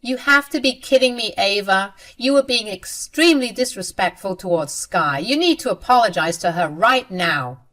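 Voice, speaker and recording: A woman's voice: medium pitch, expressive, normal speed, hardly any pauses, fairly bright tone, close to an everyday voice. A woman voicing a disapproving older mother who scolds her grown daughter for cruelty. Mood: reproachful. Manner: reproachful.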